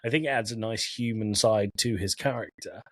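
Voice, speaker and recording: A man's voice: on the low side, a little fast, no room sound. Jokey man hosting a podcast, dry close sound. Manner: jokey asides, profane. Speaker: podcast host. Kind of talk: informal film and game discussion, recapping from notes.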